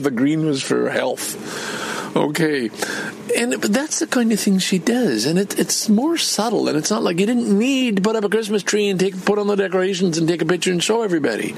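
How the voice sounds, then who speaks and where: A man's voice: fairly high pitch, vocal fry, a little hiss or hum, rough recording. An older man from Canada in a rambling personal vlog, meandering and chatty with many restarts. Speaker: vlogger.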